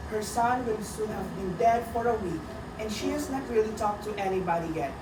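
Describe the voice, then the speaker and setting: A woman narrating a radio drama in a deliberate, solemn storytelling manner, reading a dramatic script. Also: medium pitch, close to an everyday voice. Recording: echoey, distant, very noisy.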